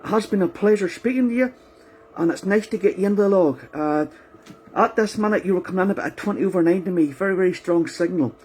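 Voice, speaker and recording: A man's voice: high voice, quick pace, darkish tone, husky. A man with a Northern Irish accent making a casual, courteous amateur radio contact, often repeating himself. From Northern Ireland, County Antrim. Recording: faint background radio noise.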